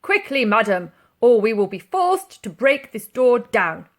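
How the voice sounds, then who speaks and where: A woman voicing a stern male military policeman who speaks in a loud, officious way. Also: fairly high pitch, sing-song, unhurried.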